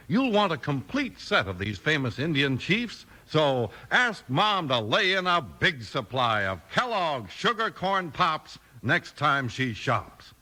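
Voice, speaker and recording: A man's voice: on the high side, very animated, takes it slowly, hardly any pauses, pushed and bright. An American man doing scripted, emphatic 1950s radio announcing and narration in a polished manner.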